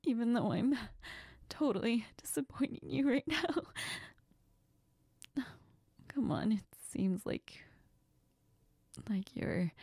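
Woman acting a tearful, breathy heartbroken young friend. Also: mid-range voice, a little mellow, dry recording. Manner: halting, breathy, often near-whispering. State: tearful, sniffling. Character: heartbroken young woman, self-doubting, sassy best friend. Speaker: audio role-play performer. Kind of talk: intimate girlfriend audio role-play acting.

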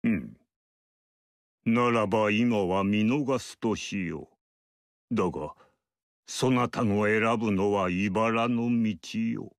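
An actor playing an ageless male mystic sage in a video game, speaking in a measured, archaic and lofty way. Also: lowish voice, dry recording, studio quality. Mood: aloof. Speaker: game character. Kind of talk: game dialogue acting.

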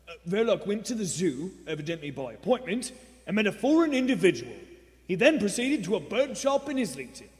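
A man's voice: high-pitched, big pitch swings, fairly quick. A man playing a businesslike police superior, questioning and probing in a live radio play. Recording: echoey theater hall.

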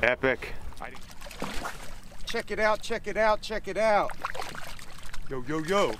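A slightly high, lively male voice ribs his buddies and chants between casts. Heavy wind and motor noise roar over the camera mic on the open water. His banter stays loose and playful. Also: takes it slowly. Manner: jokey, teases friends, chants catchphrases. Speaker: angler on the boat. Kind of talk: joking casual banter. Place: open boat on a windy river. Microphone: action camera on the boat. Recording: windy outdoor boat recording. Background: loud wind and boat noise.

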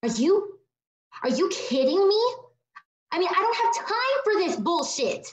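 A woman's voice: very high-pitched, big pitch swings, a little slow, heavily acted. A woman acting an exasperated, streetwise young woman in a comedy table read, slangy and emphatic, from a living room on a video call. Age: young adult. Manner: slangy, emphatic, repeats words. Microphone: laptop microphone. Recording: echoey, dull video-call audio.

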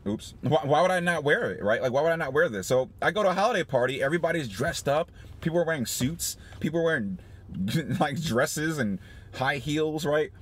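A man in his thirties talking casually in a parked car, animated and laughing often, with many restarts. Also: on the high side, brisk. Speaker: small business owner vlogger. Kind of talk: casual motivational vlog. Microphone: phone camera on dashboard.

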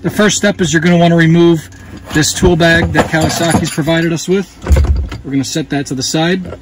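A man's voice: a little high, a little fast, very noisy. A man in his thirties walking through a hands-on tutorial outdoors, talking plainly into a phone camera held close, with fillers as he works. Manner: relaxed, plain-spoken, with fillers while working. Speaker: dealer host giving a how-to. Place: outdoors beside a jet ski.

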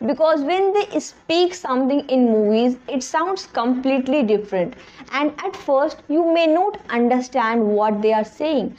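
Young woman, Hindi first language, fairly high voice, earnest talk to camera, slightly dull lo-fi sound. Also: a little mellow. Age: young adult. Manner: earnest, plain and direct. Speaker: English-learning vlogger. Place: small bedroom indoors. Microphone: camera in front at close range.

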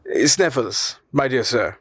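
A man's voice: mid-range voice, expressive, slow, fairly bright tone, no room sound, studio quality. A man voicing a courteous, proud Icelandic male professor in an audiobook, speaking formally, earnestly and eloquently. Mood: eager.

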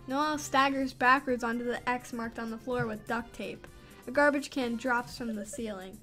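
Woman gamer reading a visual novel out loud, chatty amused asides, high voice, faint music. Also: moderate pace. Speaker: gaming channel host.

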